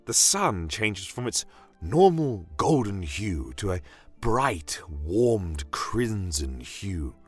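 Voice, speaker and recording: Deep-voiced theatrical fantasy doctor, acted by a man. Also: fairly bright tone, exaggerated voice. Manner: formal, florid, theatrical delivery. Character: genial, theatrical fantasy doctor and alchemist, welcoming storyteller. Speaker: in-character narrator. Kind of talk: scripted in-character fantasy storytelling.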